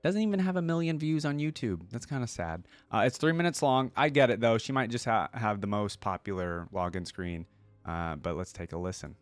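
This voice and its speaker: A man's voice: fairly low pitch, expressive, brisk, dry recording. A man giving a casual live reaction commentary, thinking aloud in an informal way as the host. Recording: music behind the voice. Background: game trailer audio and music.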